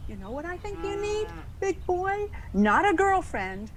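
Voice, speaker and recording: High, slow-talking brash girl hitchhiker. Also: heavily acted. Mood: alarmed, indignant. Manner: casual, folksy, sassy. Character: brash, slangy, wisecracking young female hitchhiker. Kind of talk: old-time radio drama acting. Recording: steady vehicle noise behind voice. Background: car ambience.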